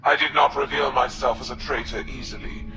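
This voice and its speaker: A man playing a cold, scheming alien military zealot in a video game, speaking formally and deliberately with menace. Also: medium pitch, fairly even intonation, sharp tone, a little hiss or hum, natural voice. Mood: cold resolve. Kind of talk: dramatic video game acting. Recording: echoing, with music behind.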